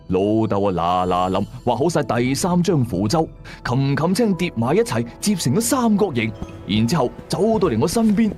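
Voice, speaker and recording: 一個香港粵語口音嘅男人，用第一身、口語化又戲劇性嘅方式講廣播鬼古。. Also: male voice, medium pitch, darkish tone. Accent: Hong Kong Cantonese. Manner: dramatic, colloquial. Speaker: radio drama narrator. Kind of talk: first-person ghost story narration.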